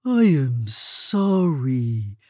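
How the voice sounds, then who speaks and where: A kindly, motherly mole who fusses over visitors, as a woman reads her. The voice sits low and mellow, drawn out slowly, soft and comforting. Close and dry, with no room around it. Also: big pitch swings, no echo, full character voice. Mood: soothing. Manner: soothing, with a French greeting. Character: kindly motherly female mole, fussing and warm. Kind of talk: storybook read-aloud.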